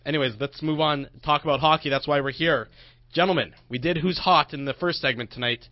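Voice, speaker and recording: A man's voice: on the high side, steady tone, a little fast, dry recording. A man hosting a sports radio show, casually chatting and plugging upcoming broadcasts in an upbeat announcer manner. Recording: dull lo-fi broadcast with faint music.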